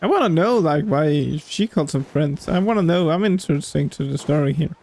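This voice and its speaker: A man's voice: fairly high pitch, expressive, fairly quick, darkish tone. A man giving casual off-the-cuff commentary as he streams a video game.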